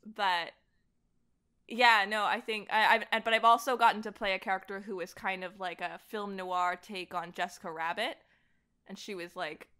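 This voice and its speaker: A woman's voice: mid-range voice, steady tone, normal speed, pushed and bright, smooth. A woman chatting casually and enthusiastically as a guest on a podcast. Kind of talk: casual chat.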